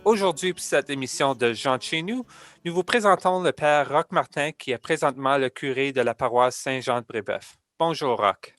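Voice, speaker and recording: A man's voice: mid-range voice, normal speed, a bit bright, no room sound, clean studio sound, faint music behind. A male television host introducing and interviewing a guest in a polished, friendly manner. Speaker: TV program host. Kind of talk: broadcast interview.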